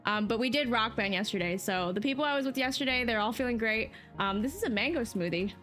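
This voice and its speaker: A woman's voice: fairly high pitch, animated, fast talker, a bit bright, faint music behind. A woman from New Jersey, a livestreamer chatting casually with her chat in a rambling, talkative way. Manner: chatty, rambling with fillers and laughs. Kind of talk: casual chat to a live stream chat.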